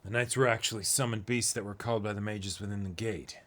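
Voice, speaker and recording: A man's voice: lowish voice, fairly even intonation, fast talker, fairly bright tone. A man casually reading a comic aloud and commenting on it as co-host of a read-along.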